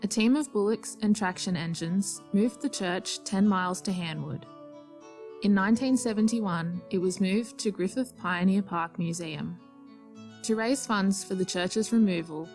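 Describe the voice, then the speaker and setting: A woman with a medium-pitched voice acts as narrator. She reads a scripted historical account for a radio drama. Gentle music plays underneath. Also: normal speed.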